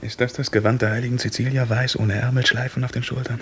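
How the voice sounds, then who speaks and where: An actor playing a bold young serf hairdresser in a 1950s radio drama, speaking defiantly and with urgent command. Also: male voice, mid-range voice, little pitch movement, quick pace, breathy edge. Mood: defiant, sardonic. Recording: 1950s radio recording with loud music.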